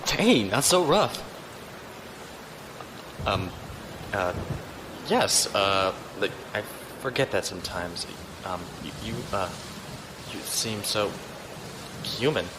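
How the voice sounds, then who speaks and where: An actor playing a resourceful military lieutenant on the run in a science fiction audio drama. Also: male voice, lowish voice, sing-song, slow, long pauses, background noise. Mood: flustered, awkward.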